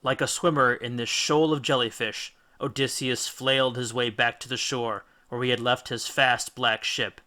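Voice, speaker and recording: A man's voice: medium pitch, level tone, moderate pace, hardly any pauses, sharp tone. A man reading a children's audiobook as a dramatic storyteller. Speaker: audiobook reader. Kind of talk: dramatic scripted storytelling narration.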